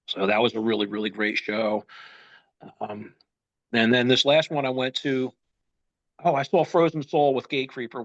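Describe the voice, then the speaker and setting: A congested man with a gravelly, fry-laden voice tells concert stories on a casual podcast. His talk wanders, with lots of ums and you knows. The audio is somewhat dull and lo-fi. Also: fairly low pitch, fairly bright tone. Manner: chatty storyteller, many ums and you knows. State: congested, getting over a cold. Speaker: podcast host. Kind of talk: casual chat.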